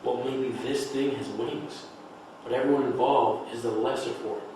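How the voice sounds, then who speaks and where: A man's voice: mid-range voice, fairly even intonation, normal speed, warm tone, close to an everyday voice. Guy acting betrayed friend, bitter n scornful, stage play read, distant mic, big echo. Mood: accusing. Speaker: actor reading a script. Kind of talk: dramatic table read. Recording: very echoey, distant mic, noisy room.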